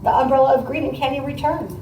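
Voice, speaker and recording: A woman with a relaxed, warm-toned voice reads a storybook as a teacher would. She talks conversationally between sentences, checking in with listeners. The sound is roomy and somewhat distant from the mic. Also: high voice. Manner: conversational, questioning, pauses to comment to listeners. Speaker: teacher demonstrating a read aloud to pupils. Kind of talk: picture-book read aloud with think-aloud commentary. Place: echoey indoor classroom. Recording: roomy, distant mic, faint background noise.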